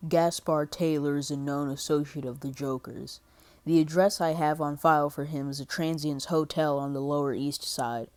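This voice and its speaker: A woman's voice: low-pitched, fairly even intonation, moderate pace, a little mellow, natural voice. A woman voicing a brooding, grim male vigilante detective who narrates his thoughts in a solemn, terse, introspective comic book narration.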